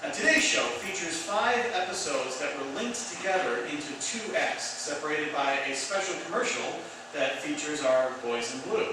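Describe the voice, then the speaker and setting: A man's voice: fairly high pitch, animated, normal speed. A man presenting a radio theatre show with a chatty scripted introduction. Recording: very echoey, distant mic, clear background noise.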